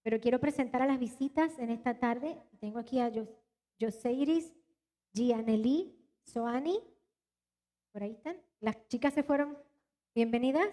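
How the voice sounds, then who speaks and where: Puerto rican lady pastor, mixed latin american accent, high voice, lively, preaching at church. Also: moderate pace, pauses often. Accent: mixed Latin American Spanish, little Puerto Rican. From Puerto Rico. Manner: animated, talks straight to the congregation. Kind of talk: live church sermon and announcements. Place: church hall.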